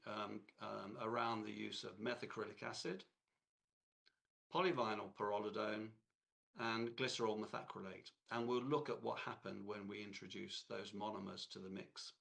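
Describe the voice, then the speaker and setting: A man with a cold, hoarse, giving a technical lecture in a halting way with many fillers and restarts. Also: lowish voice, fairly bright tone.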